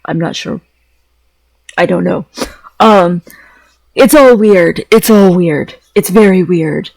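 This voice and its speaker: A man's voice: sits high, slow pace, creaky, darkish tone, no room sound, poor audio. A man talking in a casual, rambling vlog style, hesitant, often repeating phrases and trailing off mid-thought. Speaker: vlogger.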